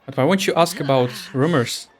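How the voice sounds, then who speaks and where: A man's voice: fairly high pitch, fairly quick. Informal man reacting over faint game music. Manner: informal, questioning, blunt asides. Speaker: streamer reacting to game story. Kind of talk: casual gameplay reaction commentary.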